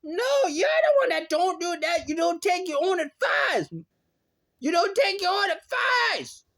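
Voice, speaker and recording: A very high, bright, squeaky voice rants in a rambling vlog. The agitated complainer exclaims, repeats phrases and stretches words out. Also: male voice, very animated, exaggerated voice. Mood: indignant. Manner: exclamatory, repetitive, drawn-out words. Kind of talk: rambling solo rant.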